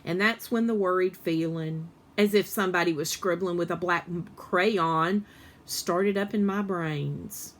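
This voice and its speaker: Expressive woman reading a children's story aloud. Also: on the low side, unhurried. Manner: animated, acts out dialogue with emphasis.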